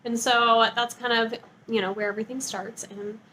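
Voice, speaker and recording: A woman's voice: fairly high pitch, level tone, normal speed, bright, forceful tone, slight background noise, low-quality recording. A woman giving a casual, chatty book review in a bubbly, gushing, informal way.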